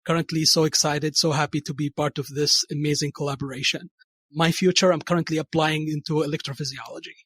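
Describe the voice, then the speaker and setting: A man's voice: fairly high pitch, fairly even intonation, a little fast, a bit bright, breathy edge, no room sound. A man from Saudi Arabia, a chief cardiology fellow, talking eagerly as a podcast guest. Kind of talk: podcast interview, introducing himself and a place.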